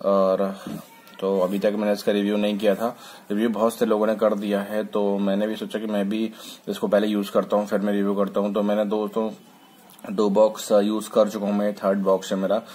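A man's voice: on the low side, a little mellow. A man talking casually to camera in a product review vlog, with hesitant fillers and restarts, recorded indoors on a phone. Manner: informal, fillers and restarts, mixes English words. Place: indoors, hands handling bottle on a table.

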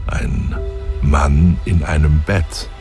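Deep male narrator, unhurried, audio drama. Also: steady tone, dark tone, faint music behind. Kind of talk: scripted narration.

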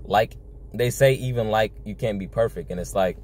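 A young man with a fairly low voice preaches informally, as if chatting to his viewers. He is earnest and circles back over his points with frequent "you know". The sound is a phone recording inside a car, with faint noise behind him. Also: moderate pace, darkish tone. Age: young adult. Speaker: religious video blogger. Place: inside a parked car at night.

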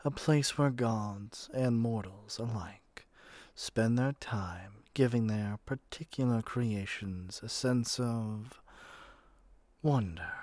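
A man's voice: lowish voice, darkish tone, no echo. A man playing a haughty, impatient god in an audio roleplay, with an imperious, theatrical way of talking. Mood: calm, soft. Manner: imperious, theatrical, addresses listener as mortal. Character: haughty, impatient male god of magic rooming with a human.

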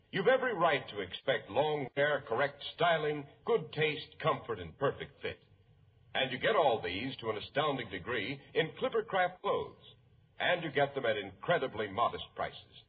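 Animated American radio pitchman. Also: normal speed. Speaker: radio commercial announcer. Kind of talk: 1940s radio advertising pitch. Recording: muffled 1940s radio recording.

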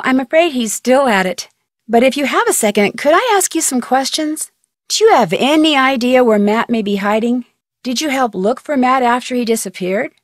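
A young American woman detective voiced by an actress in a video game. She is polite, curious and matter-of-fact, with a fairly high voice recorded close and dry. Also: dry recording, close to an everyday voice. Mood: polite, inquiring. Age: young adult. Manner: friendly, clear-spoken, matter-of-fact. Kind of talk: video game voice acting, phone dialogue.

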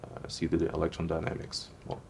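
A young man with a very deep voice answers questions haltingly, pausing and restarting often. He is heard from across an echoey lecture room. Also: monotone, vocal fry, a little mellow, far from the mic, a little hiss or hum. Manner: hesitant, many fillers and restarts. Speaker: panelist scientist. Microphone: handheld mic.

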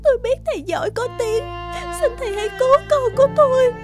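A gentle, devoted cartoon witch mother, pleads with emotion. Her voice is very high, with music clearly behind it. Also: fast. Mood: pleading. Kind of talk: cartoon dubbing.

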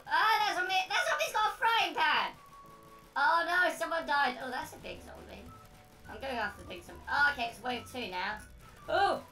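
A woman hosting a gaming video with excitable commentary and frequent exclamations. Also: sits high. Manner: excitable, prone to shrieking exclamations. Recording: roomy echo, distant mic.

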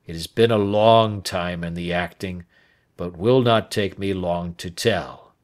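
A broken, dying old man pours out his confession, slow and heavy with remorse, as a man reads him aloud. The voice sits low and earnest, each sentence weighted as if it costs him effort. It is an audiobook character. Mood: grave. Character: aging male rancher, dying and remorseful.

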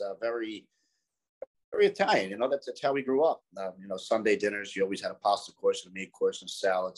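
A man in his twenties with a South Philadelphia accent, relaxed and easygoing, chatting as a guest over a video call from a small room. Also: lowish voice, poor audio. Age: 20s. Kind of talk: casual chat.

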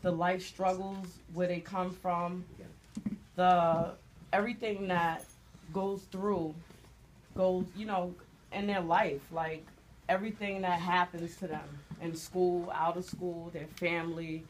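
A woman's voice: lowish voice, flat delivery, slow pace, a little hiss or hum. A woman who directs a dance studio, speaking earnestly and proudly as a guest in a radio studio.

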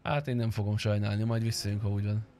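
A man's voice: lowish voice, flat delivery, warm tone, a little hiss or hum. A man chatting casually and crudely with friends while streaming a roleplay game. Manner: crude, bantering.

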